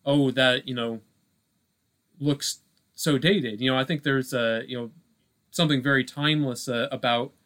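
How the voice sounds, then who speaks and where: A man with a mid-pitched voice in casual conversation as a podcast co-host. He circles around his point, restarting phrases and hesitating as he thinks out loud. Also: fairly even intonation, normal speed, long pauses. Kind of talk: casual film discussion.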